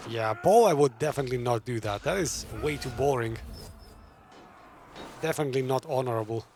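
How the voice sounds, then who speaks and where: A man's voice: mid-range voice, brisk, noisy background. A man giving casual live commentary on a game, musing aloud and chatting to his viewers. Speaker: gaming streamer. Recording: game music behind the voice.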